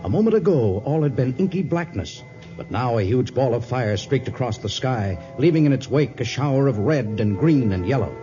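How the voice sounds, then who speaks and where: A man's voice: mid-range voice, animated, normal speed. A man narrating a 1950s radio adventure in a dramatic, scripted storytelling style. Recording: dull vintage radio, loud music behind.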